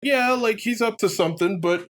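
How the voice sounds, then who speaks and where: A man's voice: high-pitched, moderate pace, fairly bright tone. A man chatting casually and giving opinions as a game commentator, rambling and swearing as he goes. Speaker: let's player commentator.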